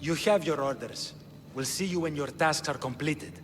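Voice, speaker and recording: A man acting a shrewd, coolly authoritative Spartan king in a video game, speaking formally and deliberately. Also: fairly high pitch, lightly acted. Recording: reverberant stone hall, music underneath.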